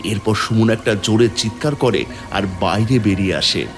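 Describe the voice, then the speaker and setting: A man's voice: on the low side, normal speed. A man narrating a scripted horror story in a dramatic storytelling style. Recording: music and rain behind the voice.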